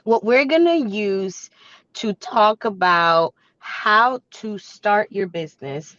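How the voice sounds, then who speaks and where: A woman with a slightly hoarse voice from a cold, presenting like a business coach in a conversational, instructive way. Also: medium pitch, animated, slow pace, fairly bright tone. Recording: echoey room, dull muffled sound.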